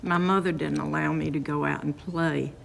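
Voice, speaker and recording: A woman's voice: low voice, steady tone, normal speed, creaky, in a large hall, a little hiss or hum, rough recording. An older woman giving earnest, emphatic interview testimony about her childhood. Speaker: Holocaust survivor interviewee.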